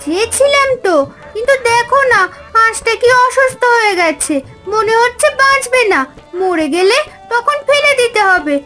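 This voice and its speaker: A woman's voice: very high voice, lively intonation, moderate pace, bright, forceful tone, faint music behind, full character voice. A woman voicing an eager young village daughter, sweet and pleading, in a fairy-tale cartoon. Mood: persuasive.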